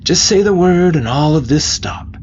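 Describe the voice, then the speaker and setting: A man voicing a gruff, bullying military drill sergeant who barks sardonic taunts in an audiobook. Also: on the high side, lively intonation, moderate pace, dull audio, slight background noise, pitched above the performer's own voice, lightly acted. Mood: mocking. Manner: loud, barking, sardonic. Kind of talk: dramatised audiobook character voice.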